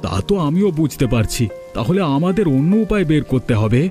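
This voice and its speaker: A man's voice: fairly high pitch, very animated, brisk, mellow, soft background music. A man voicing a greedy, scheming rich villager in a cartoon story, with an insistent, blustering manner. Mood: excited scheming. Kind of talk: Bengali cartoon story voice acting.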